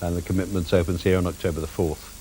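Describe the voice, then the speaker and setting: A man's voice: low voice, level tone, quick pace, heavy background noise. A man presenting a film review programme in a wry, arch scripted manner.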